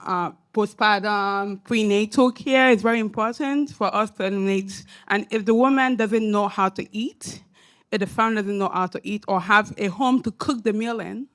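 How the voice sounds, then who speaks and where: A woman with an African accent speaking as an academic panelist, emphatic and animated, often asking "right?". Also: mid-range voice. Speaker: panelist, academic and social entrepreneur. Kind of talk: panel discussion remarks. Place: symposium panel room.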